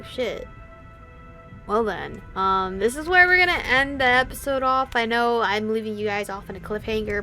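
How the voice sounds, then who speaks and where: A woman's voice: on the high side, bright, forceful tone. A woman giving blunt, sarcastic, sweary casual commentary as she plays a game. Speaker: gaming YouTuber. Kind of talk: casual let's play commentary.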